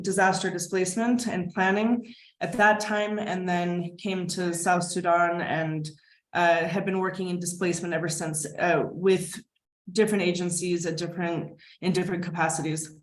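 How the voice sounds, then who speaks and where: Woman with a low voice on an echoey call. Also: normal speed. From United States. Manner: relaxed, thoughtful, with frequent fillers. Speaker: guest, permaculture designer. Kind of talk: conversational video-call interview. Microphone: video call. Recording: echoey room on a video call.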